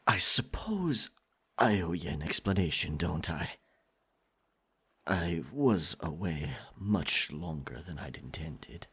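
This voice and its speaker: A man's voice: low-pitched, sing-song, slow, fairly bright tone, heavily acted. A man playing a possessive, roguish merman pirate captain in a theatrical British pirate accent, in an intimate, often whispered ASMR roleplay. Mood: teasing, controlling. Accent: stage pirate British. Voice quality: breathy, husky, often whispering. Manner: theatrical, drawling endearments.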